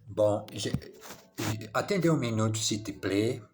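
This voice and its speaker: A man's voice: fairly low pitch, animated, unhurried, faint music behind. A man practicing French on a phone camera, repeating and correcting himself as he reads dialogue lines aloud. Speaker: language learner. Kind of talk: casual language practice vlog.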